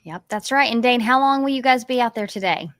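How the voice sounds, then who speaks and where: A woman's voice: on the high side, a little fast, no echo, clean studio sound. A woman presenting a live local TV news segment as the anchor.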